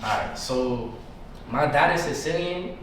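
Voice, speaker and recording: A man's voice: mid-range voice, lively intonation, unhurried, fairly bright tone. A young man with a New York accent, chatting casually and in slang as a musician guest on a podcast. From New York, United States. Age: young adult. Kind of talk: casual slangy interview chat. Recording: echoey room, distant mic, background noise.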